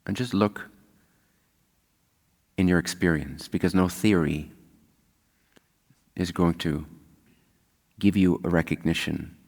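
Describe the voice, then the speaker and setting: A man leading a guided meditation as a spiritual teacher, speaking calmly and unhurriedly with long pauses. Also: rumbling low, vocal fry. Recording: long hall-like reverb.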